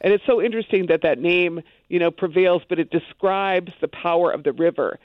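A man with a somewhat high voice talks through a tinny, thin phone line. His speech is loose and conversational and full of asides. The sound is dry and close. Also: fairly quick, no room sound. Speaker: guest. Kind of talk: casual conversation on a radio show.

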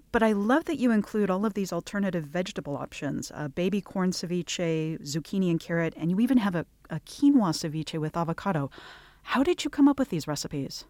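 Mellow-voiced woman interviewer. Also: fairly low pitch, no echo. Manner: attentive, appreciative. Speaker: radio interviewer. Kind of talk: interview questions.

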